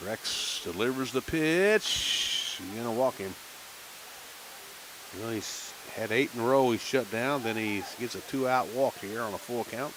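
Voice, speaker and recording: A man calling a minor league baseball game on local radio, chatting casually between pitches. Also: measured pace, background noise. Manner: relaxed folksy chatter between pitches, rising excitement on big plays. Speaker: play-by-play announcer.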